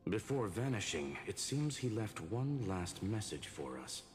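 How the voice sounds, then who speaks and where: A deep-voiced artificial intellect in a game story. He is calm, formal and exact, laying out analysis in measured phrases. The voice sits in a long reverb with music clearly behind it. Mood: grave, measured. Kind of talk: acted video game dialogue.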